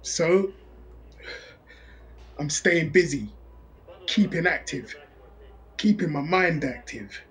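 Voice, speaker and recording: Restless male prisoner, slow delivery, plain-spoken, determined to rebuild himself, drama monologue. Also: fairly high pitch, expressive, fairly bright tone, poor audio. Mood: determined, frustrated. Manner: plain-spoken, slangy, counting through exercise sets.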